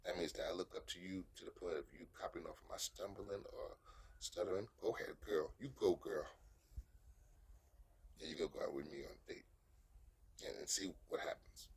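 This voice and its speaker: Man, very low husky voice, motivational vlogger, halting and repetitive delivery. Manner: stumbles and restarts, repeats phrases for emphasis. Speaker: vlogger giving dating advice. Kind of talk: rambling motivational monologue.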